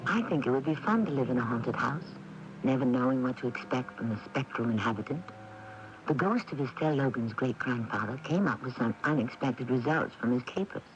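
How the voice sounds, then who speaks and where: A man's voice: mid-range voice, lively intonation, fairly quick. Wry male radio host, muffled old tape. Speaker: radio drama host and narrator. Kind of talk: scripted narration, urbane storytelling. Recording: dull, lightly noisy old radio tape.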